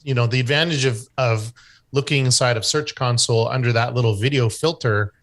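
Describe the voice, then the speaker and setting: A Canadian man explaining website search topics to an online group in a relaxed way, with many fillers and restarts. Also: medium pitch, brisk. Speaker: guest expert on website SEO.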